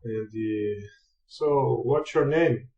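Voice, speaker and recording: A Brazilian man with a Brazilian Portuguese accent, speaking halting English with many fillers in a casual practice chat over a video call. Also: mid-range voice, dark tone. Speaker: channel host, English learner. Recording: roomy, dull lo-fi sound.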